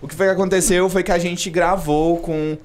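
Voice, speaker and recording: A man co-hosting a casual podcast, his voice sitting a little higher than most men's. He jokes around and laughs often while keeping the chat moving. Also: fairly even intonation, normal speed. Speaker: podcast host.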